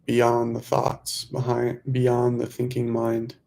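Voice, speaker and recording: A man guides a meditation in a very slow, even voice with a gravelly creak. His phrases are short and gently instructive, set apart by pauses. The pitch stays level throughout.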